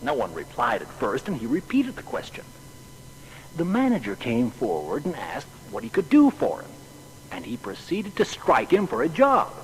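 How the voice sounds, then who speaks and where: A folksy old-time telegraph worker recounting an office anecdote in a radio-style drama. His mid-pitched voice swings very widely as he talks in colloquial turns, with faint background ambience around him. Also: moderate pace, a little hiss or hum, rough recording. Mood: amused recollection. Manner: animated, colloquial.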